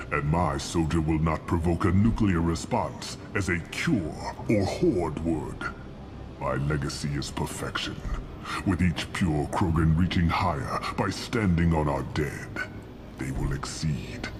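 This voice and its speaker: Very deep-voiced alien warlord. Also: male voice, music in the background. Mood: cold conviction. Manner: grandiose, deliberate, declamatory. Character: old fanatical Krogan alien warlord scientist, cold and proud. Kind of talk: video game voice acting.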